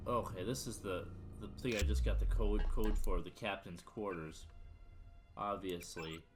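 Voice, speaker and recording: A man's voice: deep voice, fairly even intonation, unhurried, over music. A man giving casual, wry commentary as he plays a video game, often muttering to himself. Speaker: let's play video game host.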